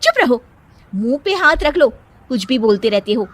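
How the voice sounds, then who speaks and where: Bossy, boastful young cartoon wife, played by a woman, very high pitch, snappy comebacks. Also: very animated, normal speed, fairly bright tone. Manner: brash, boastful, snapping back. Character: proud, bossy young wife boasting about her magic hands. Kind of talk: animated cartoon story dialogue.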